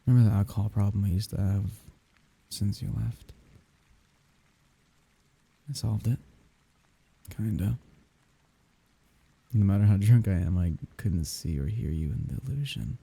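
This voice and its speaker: A man playing a grieving lover saying farewell at a grave in an intimate ASMR roleplay, husky and breathy, halting and tender, often slipping into whispers. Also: fairly low pitch, mellow, no room sound, exaggerated voice. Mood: wistful.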